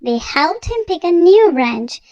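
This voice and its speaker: A high, youthful voice narrating a children's read-aloud story in an expressive, deliberate manner. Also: dry recording, muffled recording.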